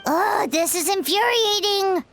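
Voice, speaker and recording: A tiny floating fairy sidekick, chirps in a very high, springy voice. She is excitable and blunt and runs out of patience fast. This is game character acting with faint music behind it. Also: exaggerated voice. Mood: impatient.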